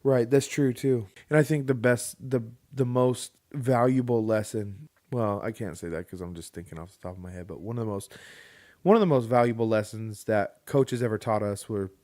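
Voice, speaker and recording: A man co-hosting a podcast in casual chat, opinionated and thinking out loud with fillers. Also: mid-range voice, normal speed, no echo, clean studio sound. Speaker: podcast co-host.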